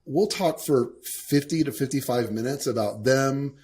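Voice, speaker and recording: A man's voice: medium pitch, unhurried, a little mellow. Earnest male podcast host. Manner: earnest, repeats words while forming thoughts. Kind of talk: casual interview conversation.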